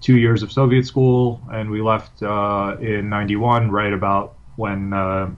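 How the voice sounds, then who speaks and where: Male interview guest from Ukraine, low voice, hesitant, on dull lo-fi remote audio. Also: level tone, unhurried. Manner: hesitant, many ums and uhs. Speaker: guest, writer. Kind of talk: interview answers.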